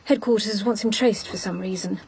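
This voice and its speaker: An actress playing an efficient, slightly prim female assistant to a secret agent in a radio drama, brisk and matter-of-fact. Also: mid-range voice, steady tone, gravelly, darkish tone, slight background noise, lightly acted.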